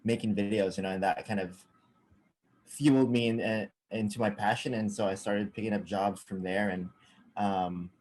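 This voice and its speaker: Young man from Saipan, lowish voice, halting and full of fillers, video call guest. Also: steady tone, darkish tone, low-quality recording. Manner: halting, many fillers and restarts, laughs often. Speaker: cameraman guest. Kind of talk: casual interview over video call.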